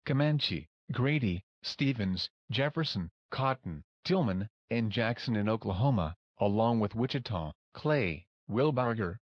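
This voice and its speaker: A man's voice: medium pitch, no room sound, natural voice. A man playing a matter-of-fact weather alert announcer, reading a scripted emergency bulletin. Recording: dull, dry lo-fi alert audio.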